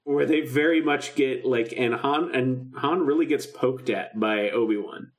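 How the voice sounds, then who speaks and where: A man with a mid-pitched voice co-hosts a podcast in a loose, chatty way. He retells scenes informally and animatedly, slipping into mock dialogue as he goes. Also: a little slow. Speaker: podcast co-host. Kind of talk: casual chat about a film radio drama.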